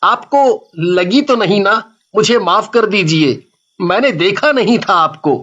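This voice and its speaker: A man's voice: high voice, expressive, takes it slowly, a bit bright, highs cut off, full character voice. A man playing a polite, easily frightened young man in a storybook tale, speaking deferentially. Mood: polite, concerned.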